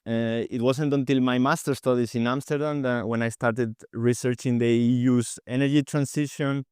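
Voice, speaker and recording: Man from Spain, thirties, smooth resonant voice, dry close sound, hesitant, restarts words. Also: medium pitch, fairly even intonation, normal speed, a little mellow, no echo. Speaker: guest researcher. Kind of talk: podcast interview answers.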